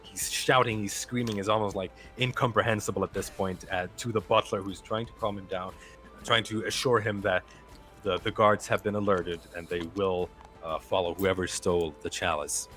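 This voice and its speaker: A man narrating a tabletop game as game master, relaxed and full of fillers. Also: low-pitched, moderate pace, faint music behind.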